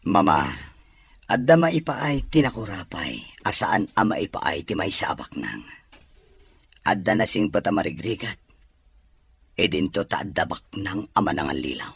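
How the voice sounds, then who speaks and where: A Filipino man playing a wealthy, protective young expectant father in an audio drama, with a raspy voice. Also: fairly low pitch, very animated, a little slow, pauses often, fairly bright tone, heavily acted. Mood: earnest, defensive.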